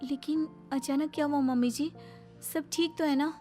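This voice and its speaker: A woman plays a young, dutiful daughter-in-law in a cartoon moral tale. Her voice is very high and meek, with light music underneath. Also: fairly even intonation, moderate pace, mellow, lightly acted. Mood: timid, puzzled. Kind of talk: cartoon moral-story voice acting.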